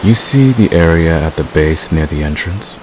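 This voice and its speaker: A man playing a smug, seductive fantasy villain who is secretly in love, in an intimate, teasing and unhurried audio roleplay. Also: a bass voice, animated, noisy background. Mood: cool, detached. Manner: teasing, unhurried, murmuring to a lover. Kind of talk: romantic audio roleplay, intimate ASMR acting.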